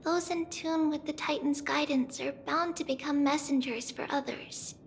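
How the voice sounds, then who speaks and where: A woman playing a small, gentle, childlike demigod girl in a video game, speaking in a reflective, unhurried way as if older than she looks. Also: sits high, steady tone, a little hiss or hum. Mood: wistful. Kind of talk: scripted video game character acting. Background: background music.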